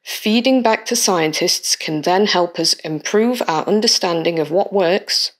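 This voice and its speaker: A woman reading a scripted educational voice-over in a clear, measured, explanatory way. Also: on the low side. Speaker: science explainer narrator.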